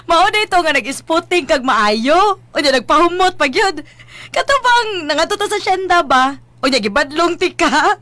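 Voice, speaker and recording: An actress playing a nosy, playful young adult daughter in a radio drama, with a Visayan Philippine accent and a prodding, giggly manner. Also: female voice, very high voice, animated, moderate pace, bright, forceful tone, no echo, exaggerated voice. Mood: teasing amusement.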